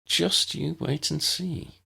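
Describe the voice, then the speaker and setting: A man voicing a helpful, chatty older schoolgirl prefect in an audiobook, briskly and kindly explaining things. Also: fairly high pitch, vocal fry, bright, forceful tone, breathy edge, pitched above the performer's own voice, exaggerated voice. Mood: friendly, explaining. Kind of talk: audiobook character voice.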